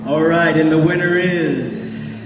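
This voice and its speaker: A man's voice: a little high, steady tone, takes it slowly, background noise. A man presenting an award live on stage, recorded from far off in a big echoey hall. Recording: echoey hall PA, distant, music behind.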